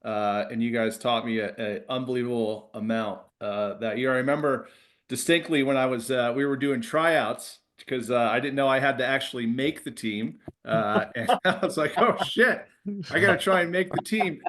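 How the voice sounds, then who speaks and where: A middle-aged man talking as a guest, telling stories in an informal, halting way. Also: mid-range voice, fairly bright tone. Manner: informal, halting, quotes dialogue. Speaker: guest, former relief pitcher. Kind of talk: casual anecdote.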